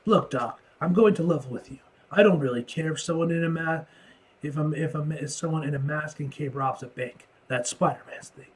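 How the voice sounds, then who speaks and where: Man acting comic-book male enforcer, grim and protective, husky, slightly high voice, earnest tough-guy talk, halting lines. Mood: grave. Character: fiercely loyal male enforcer, grim, protective, plain-spoken.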